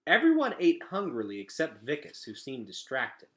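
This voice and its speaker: Man with lively, expressive reading voice. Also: a little high, normal speed. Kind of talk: expressive storybook read-aloud.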